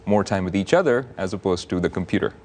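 A man in his thirties, a cardiologist guest on a TV news studio set, explaining things in a measured, clear way on a lapel mic. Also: low voice, darkish tone. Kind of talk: TV studio interview.

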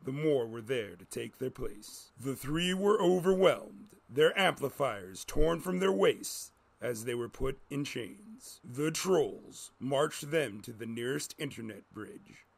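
A man's voice: medium pitch, animated, slow pace, vocal fry, few pauses, husky. A man reading a scripted story as a dramatic narrator.

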